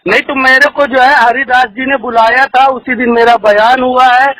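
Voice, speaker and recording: A man's voice: very high voice, level tone, moderate pace, sharp tone. A man on a phone call as a complainant and father, insistent and pleading, repeating his demands. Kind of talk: heated phone argument. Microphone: phone call recording.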